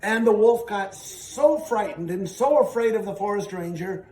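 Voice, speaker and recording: High-voiced man telling a children's story. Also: a little mellow. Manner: halting, repeats phrases for emphasis. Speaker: storyteller and show host. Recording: roomy, distant, faintly noisy.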